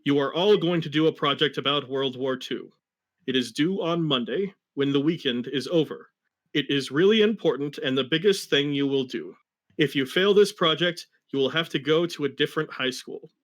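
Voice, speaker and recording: An emphatic high school history teacher from a story, read out by a man. His voice sits a little high, and he lays down the rules sternly. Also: moderate pace, a bit bright, lightly acted.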